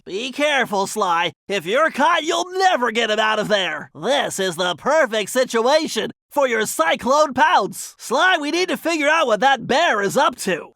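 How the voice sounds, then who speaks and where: A man's voice: extremely high pitch, vocal fry, sharp tone, no room sound, clean studio sound, exaggerated voice. A man voicing a brainy, fussy young cartoon turtle in a video game, nasal and precise, speaking with earnest emphasis as he plans missions. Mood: cautioning.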